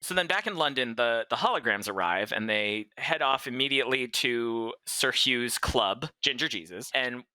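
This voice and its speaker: A man's voice: medium pitch, animated, moderate pace, hardly any pauses, sharp tone, dry recording. A man co-hosting a podcast, talking casually as he recaps a story with the others. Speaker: podcast co-host.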